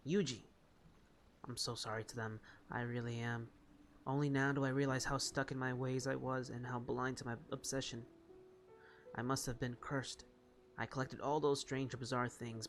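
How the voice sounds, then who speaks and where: A man playing a video game and reading its text aloud in a subdued, dramatic way, now and then stumbling. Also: mid-range voice. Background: game music.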